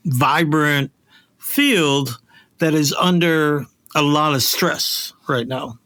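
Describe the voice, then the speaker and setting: A mature man with a very bright, forward voice pitched a little high. He hosts a relaxed chat, reminiscing about his trade in an informal, rambling way. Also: takes it slowly. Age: middle-aged or older. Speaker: podcast host and audio producer. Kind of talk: casual conversation, reminiscing.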